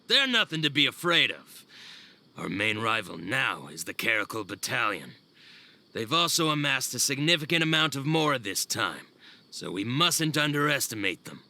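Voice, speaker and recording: A hard-edged mercenary henchman in a video game. His voice is very sharp and forceful, lively in pitch, with an assertive, devoted air. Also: normal speed, exaggerated voice. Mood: gloating, zealous. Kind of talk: video game cutscene acting.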